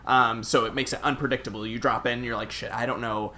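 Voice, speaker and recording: A man's voice: medium pitch, little pitch movement, fast talker, pushed and bright, slight background noise. A man co-hosting a podcast, chatting casually about video games. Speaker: podcast co-host.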